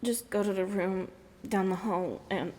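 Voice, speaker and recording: Woman acting a slow-spoken, weary no-nonsense woman. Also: mid-range voice, a little mellow, natural voice. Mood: exasperated. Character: weary, no-nonsense woman tending an injured man. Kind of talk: naturalistic audio drama acting.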